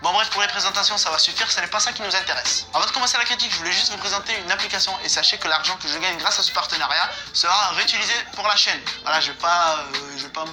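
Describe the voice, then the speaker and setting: A man's voice: high-pitched, rapid-fire, few pauses. A young man hosting comedic commentary on a music video in a slangy, teasing, mock-analytical manner. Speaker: host.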